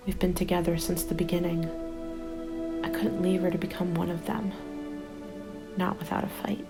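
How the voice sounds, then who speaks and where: A woman playing a haunted, determined zombie apocalypse survivor in an ASMR roleplay, speaking in a soft, breathy half-whisper with an intimate, deliberate delivery. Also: fairly low pitch, level tone. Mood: grieving, bleak. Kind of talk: ASMR roleplay, dramatic monologue. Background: background music.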